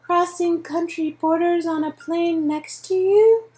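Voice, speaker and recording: Woman acting a very high-voiced, awkward nerdy young woman. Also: fairly even intonation, dark tone, slight background noise. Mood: awkward confession. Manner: halting, formal recitation.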